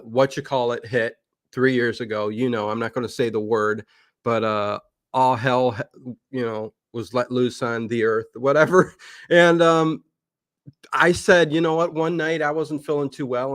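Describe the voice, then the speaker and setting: A man who hosts livestreams, telling stories casually in an animated, chatty way and acting out remembered remarks. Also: medium pitch, moderate pace, dry recording, studio quality. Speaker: livestream channel host.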